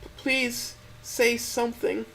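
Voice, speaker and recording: A man voicing a gentle young female dinosaur who stammers and quivers as she pleads tearfully. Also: very high voice, fairly even intonation, takes it slowly, vocal fry, slight background noise, voice pushed up, full character voice. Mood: tearful pleading.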